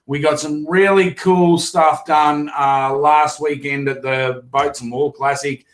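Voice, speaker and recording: Hoarse, chatty Australian man hosting. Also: on the high side, steady tone, takes it slowly. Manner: rambling, chatty, many fillers and restarts. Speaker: fishing show host. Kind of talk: casual live stream chat.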